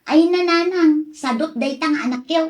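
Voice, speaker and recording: A woman's voice: high voice, moderate pace, a little mellow, natural voice. An actress playing a lovelorn, pleading young woman in an emotional radio drama style. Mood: exasperated. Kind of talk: Ilocano radio drama acting.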